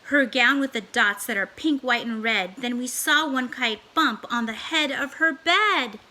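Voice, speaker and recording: A woman reading a children's storybook aloud in a theatrical, emphatic, expressive way. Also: a little high, measured pace, slight background noise. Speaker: storybook reader.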